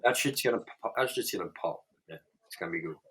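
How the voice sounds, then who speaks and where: A man's voice: deep voice, fast talker, husky. Blunt, swearing young man co-hosting a review. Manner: blunt, profane and animated. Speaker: co-host. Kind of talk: casual review chat.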